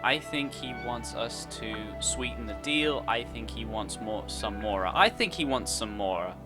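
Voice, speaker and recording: A woman's voice: very deep voice, animated, moderate pace, music in the background. A woman doing jokey, teasing let's-play commentary, reading out dialogue choices and reacting to a game. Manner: teasing, jokey, prone to giggling.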